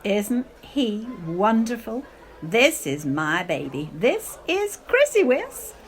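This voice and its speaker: A woman's voice: fairly high pitch, sing-song, takes it slowly, a little hiss or hum. Woman playing a theatrical, swooping, clinging mother. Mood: gushing, affected. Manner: theatrical, affected, sprinkles in foreign phrases. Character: melodramatic, self-pitying, clinging middle-aged mother. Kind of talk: radio play acting.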